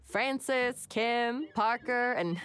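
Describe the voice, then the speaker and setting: A woman's voice: a little high, slow, fairly bright tone, clean tone. A woman playing a chatty, playful townsperson in a video game.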